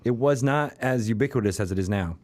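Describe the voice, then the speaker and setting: A man's voice: mid-range voice, a little fast, darkish tone. A man with an American accent chatting casually as he co-hosts an interview podcast. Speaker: podcast co-host.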